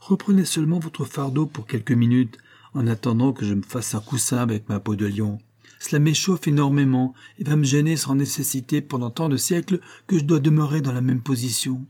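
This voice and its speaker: A man's voice: mid-range voice, brisk, mellow, pitched above the performer's own voice, lightly acted. A man voicing a strong, impatient male hero in a slightly raised, pressing voice.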